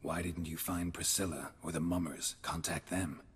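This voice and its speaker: An actor playing a gruff, stoic monster hunter in a fantasy game, flat and laconic in delivery. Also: male voice, low voice, normal speed. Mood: dry, matter-of-fact. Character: gruff, stoic monster-hunting witcher, adult man, dry-humored.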